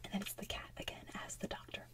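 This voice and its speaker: A woman's voice: fast talker, a bit bright, far from the mic. A young woman whispering a casual retelling of a children's story, full of "so basically" and "like".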